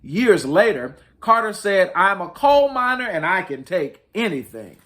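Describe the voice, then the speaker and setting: A man's voice: high-pitched, sing-song, a little slow, fairly bright tone. A man hosting a story time, reading a picture book aloud to families in a dramatic, emphatic way. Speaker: children's storybook reader, host.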